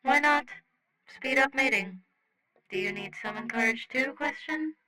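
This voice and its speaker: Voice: high-pitched, unhurried, a crowd in the background, pitched above the performer's own voice, rough recording. A podfic reader voicing a small, blunt, cheerful alien rock creature who talks in clipped broken English through a translator. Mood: frank, encouraging. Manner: speaks clipped broken English, announces questions by saying "question". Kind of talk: scripted fiction dialogue, translator voice.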